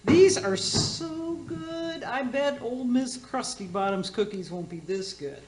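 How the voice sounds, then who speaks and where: A tipsy, bawdy auntie hosts a comedy radio show in a roomy, echoing space. Her highish voice swoops up and down. She gushes and rambles, tripping over her words with brash confidence. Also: lively intonation, slow pace, darkish tone, slight background noise. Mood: brash, teasing. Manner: rambling, gushing, boozy word slips. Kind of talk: community theater radio comedy acting.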